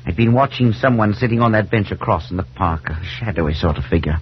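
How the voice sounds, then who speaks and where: An actor playing a nervous, irritable, haunted professor in a 1940s radio drama, speaking haltingly and with emphasis. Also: male voice, on the low side, lively intonation, close to an everyday voice. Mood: uneasy, intense. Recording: dull, hissy 1940s radio broadcast.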